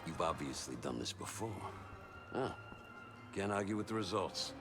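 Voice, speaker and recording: An actor playing a courteous but menacing male mob fixer in a game cutscene, unhurried and wry. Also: deep voice, expressive. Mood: pleased, quietly menacing. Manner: unhurried, wry, understated threat. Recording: music behind the voice.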